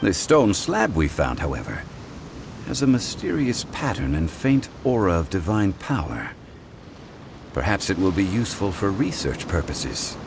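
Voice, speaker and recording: A man voicing a calm, learned male scholar who speaks in a composed, measured way. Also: deep voice, vocal fry, background noise, full character voice. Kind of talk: game voice acting. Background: game music.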